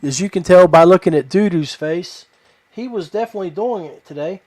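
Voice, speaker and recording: A man's voice: high voice, brisk, darkish tone, dry recording. A man hosting a podcast in casual chat, with a folksy way of addressing his listeners. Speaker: podcast host. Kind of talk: casual chat about fishing gear.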